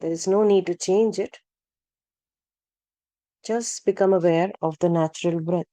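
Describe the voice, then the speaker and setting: A woman guides a meditation in a calm, gentle manner. Her voice sits a little low and is very mellow and warm, captured dry and close without room sound. She gives short instructions without hurry and leaves generous pauses between them. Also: dry recording. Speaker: yoga nidra guide.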